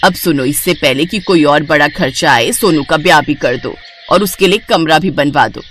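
A woman's voice: low voice, moderate pace, a bit bright, slight background noise. A woman voicing a homely older village mother in a cartoon story, bossy yet loving, chatty and emphatic.

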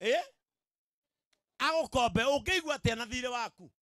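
A middle-aged Kenyan pastor with a Kikuyu accent, preaching emphatically to a gathering and often repeating himself to check they follow. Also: male voice, extremely high pitch, animated, fairly quick, bright, forceful tone, no echo, clean studio sound. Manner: emphatic, repetitive, checks in with the audience. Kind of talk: sermon to a live gathering. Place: outdoor tented event. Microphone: handheld microphone.

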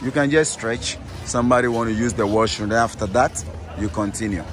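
A man's voice: medium pitch, fairly quick. Man, Ghanaian accent, casual tour guide, repetitive explanatory phrasing, outdoor recording, noticeable traffic and street noise. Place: outdoors at a busy bus station. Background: street and vehicle noise.

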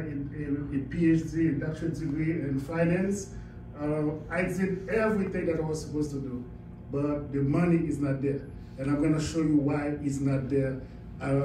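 A man's voice: a little high, dark tone, a little hiss or hum. A man from Haiti speaking to a room as a seminar speaker, giving a motivational business talk and putting questions to the audience. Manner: emphatic, asks the audience questions. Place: carpeted indoor meeting room. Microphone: far camera, no visible mic. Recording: echoey room, camera far off.

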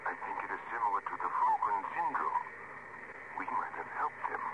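Gentle alien spaceman, noisy old radio. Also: fairly low pitch, expressive, normal speed. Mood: wistful, regretful. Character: wistful, gentle alien spaceman heading home. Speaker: actor. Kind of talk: mid-century radio drama acting. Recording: very noisy, muffled vintage radio.

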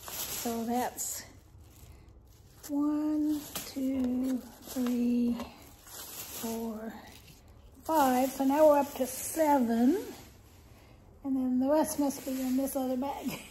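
An older woman casually showing off her shopping haul at home, chatty and rambling with soft laughs and many ums, a little congested. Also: sits high, slight background noise, rough recording. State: slightly congested, clearing throat. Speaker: haul vlogger. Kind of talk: casual haul show-and-tell. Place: living room at home, indoors.

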